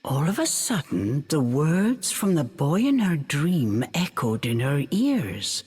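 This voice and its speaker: A woman narrating a fairy tale for a video game in an expressive, measured storytelling manner. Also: deep voice, breathy edge, exaggerated voice. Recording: faint music behind the voice.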